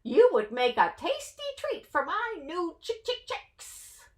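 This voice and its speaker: A woman's voice: extremely high pitch, sing-song, takes it slowly, voice pushed up, full character voice. A woman voicing a hungry, clucking red mother hen in a storybook. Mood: scheming. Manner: clucking, calling out.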